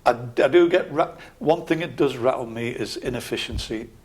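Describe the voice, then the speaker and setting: A man in his sixties with a Geordie accent, a local councillor calmly answering interview questions in a measured, matter-of-fact way. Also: mid-range voice, husky. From Scotland, raised in Newcastle area. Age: 60s.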